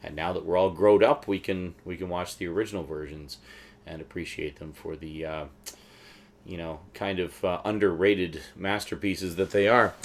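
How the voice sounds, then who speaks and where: Low-voiced man, casual halting vlog talk. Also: fairly even intonation. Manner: halting, thinking aloud, conversational. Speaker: host of a collector's show. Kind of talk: casual rambling vlog commentary on a collection.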